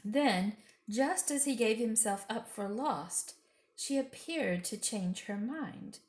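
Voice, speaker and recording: A woman reading a children's book aloud as an expressive storyteller. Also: fairly high pitch, slow pace. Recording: roomy echo.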